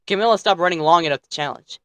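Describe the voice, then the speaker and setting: A man's voice: a little high, quick pace, bright, forceful tone, no room sound. A man telling a bedtime story as narrator, theatrical, with playfully snippy asides.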